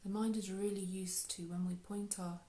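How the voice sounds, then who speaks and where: A woman leading a guided meditation in a soft, unhurried, soothing way, with phrases trailing off into breath. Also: mid-range voice, little pitch movement, a little hiss or hum. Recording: roomy, echoey sound.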